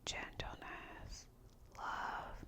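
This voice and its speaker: A woman whispering softly and breathily as she hosts an ASMR reading of guided meditations, gentle and soothing. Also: extremely slow.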